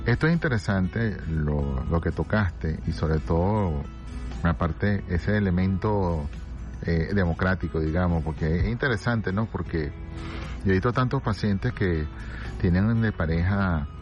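Very deep-voiced man hosting radio. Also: measured pace, a little mellow, lo-fi sound. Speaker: radio host and psychiatrist. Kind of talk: conversational radio interview. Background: background music.

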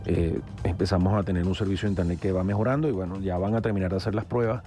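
A man with a Venezuelan Spanish accent hosting a radio news analysis hour, measured and hesitant with many fillers. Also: low voice, steady tone, mellow, soft background music. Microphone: studio microphone.

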